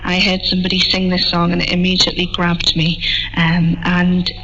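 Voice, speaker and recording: A woman's voice: on the low side, monotone, normal speed, fairly bright tone, soft background music. A woman, a folk singer being interviewed, chats casually and informally in a self-deprecating way, heard through a shortwave radio. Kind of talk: casual chat. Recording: dull shortwave radio capture.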